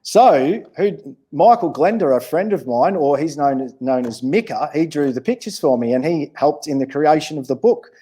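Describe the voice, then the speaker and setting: A man's voice: fairly high pitch, expressive, normal speed, few pauses, mellow, husky. A man with an Australian accent answering questions in a casual, encouraging, plain-spoken way as a children's author. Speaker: children's author, interview guest.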